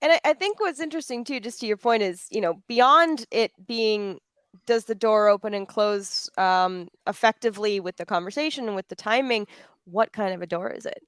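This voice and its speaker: A woman's voice: mid-range voice, moderate pace, smooth, dry recording. A woman moderating a panel conversationally, articulate and affirming as she talks.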